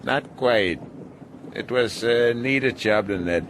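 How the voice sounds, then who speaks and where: An actor playing a gruff, ailing, sardonic male sea captain in a radio drama, halting and muttering as if in pain. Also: mid-range voice, fairly bright tone. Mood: wry, weary. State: weary and in pain from headaches. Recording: noisy, boat and sea ambience.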